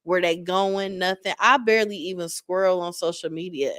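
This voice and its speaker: A woman in her forties hosting a podcast, chatting casually and encouraging her guest in quick bursts with repeated starts. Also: fairly low pitch, fairly even intonation, a bit bright, no echo, studio quality. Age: 40s. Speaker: podcast host. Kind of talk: casual interview chat.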